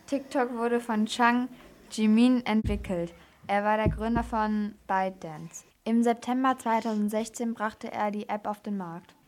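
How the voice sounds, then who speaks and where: A woman's voice: a little high, steady tone, a little slow, a little mellow. A woman presenting on a children's radio programme, reading a scripted factual report.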